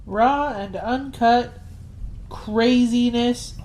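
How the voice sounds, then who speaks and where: A woman co-hosts a casual podcast in a fairly high voice. She drops in short, wry remarks alongside the main host. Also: very slow, darkish tone. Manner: brief, wry interjections.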